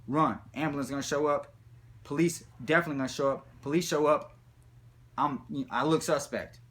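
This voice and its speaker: A man telling a comic personal story in a rambling, slangy, profane way with animated retelling. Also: fairly high pitch, normal speed. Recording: background noise, room ambience.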